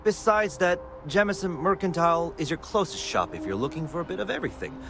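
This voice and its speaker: Lively, high-voiced spaceport technician. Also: male voice, quick pace, gravelly, background noise. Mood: helpful, businesslike. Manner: familiar, helpful, informal. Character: friendly male spaceport ship technician, easygoing and helpful. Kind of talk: scripted video game dialogue.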